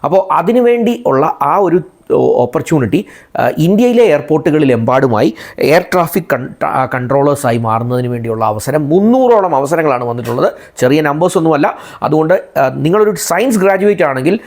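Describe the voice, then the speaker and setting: A man's voice: a little high, lively intonation, moderate pace, a little mellow. A man from India with a Malayalam accent in his English, hosting an informational explainer for viewers and repeating and rephrasing key points. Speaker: video channel host.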